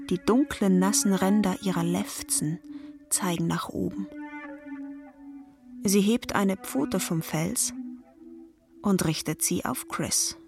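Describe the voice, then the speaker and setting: Slow, measured woman telling a dramatic story. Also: medium pitch. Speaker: narrator of an audio drama. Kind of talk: scripted dramatic narration.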